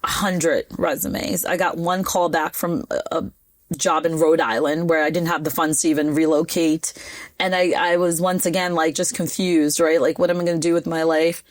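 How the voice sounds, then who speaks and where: A woman in her forties with an American accent, telling her story as a guest in a casual interview, animated and quick with a laugh. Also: deep voice, no room sound, studio quality. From United States (New Jersey). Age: 40s. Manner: animated, chatty, laughs often, quick asides. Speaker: podcast guest.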